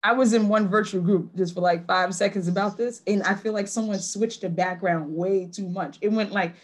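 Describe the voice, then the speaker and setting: A woman's voice: medium pitch, normal speed. Chatty woman hosting a video call. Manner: chatty, laughs often. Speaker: host of a virtual wine tasting. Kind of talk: casual video call chat. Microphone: laptop video call.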